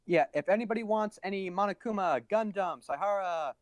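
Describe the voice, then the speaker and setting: A woman's voice: medium pitch, brisk, no room sound. A woman moderating a casual panel chat and taking requests.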